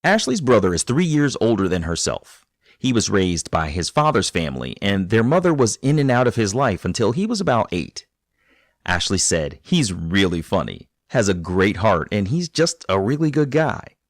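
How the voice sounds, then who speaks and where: A man hosting a podcast, narrating a guest's story and interviewing her in a calm, measured, encouraging way. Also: fairly low pitch, dry recording, clean studio sound. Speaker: podcast host.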